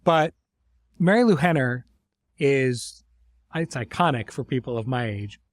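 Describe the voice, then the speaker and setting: A man's voice: medium pitch, animated, unhurried, no room sound. A middle-aged man hosting a podcast in an informal, conversational way, effusive with his praise. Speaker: host and series creator.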